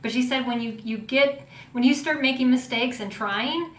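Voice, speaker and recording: A woman hosting a podcast, chatting casually, laughing often and giving many small affirming replies. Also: normal speed. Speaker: podcast host. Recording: roomy echo with faint rumble.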